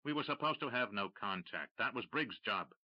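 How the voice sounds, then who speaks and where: A man voicing a cold, ruthless male smuggler boss who speaks in a low, clipped, contemptuous way. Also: expressive, normal speed, highs cut off, lightly acted. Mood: cold menace.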